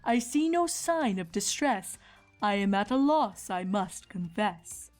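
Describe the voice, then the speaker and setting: A woman voicing a calm, wise female zebra herbalist who speaks in measured rhyme in a cartoon fan fiction audio drama. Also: mid-range voice. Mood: thoughtful. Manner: measured, speaks in rhyming couplets. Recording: music behind the voice.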